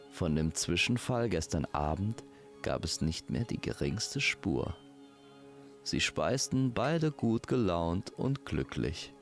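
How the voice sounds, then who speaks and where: A man's voice: low-pitched, vocal fry. A man narrating a scripted fairy-tale audio drama as its storyteller.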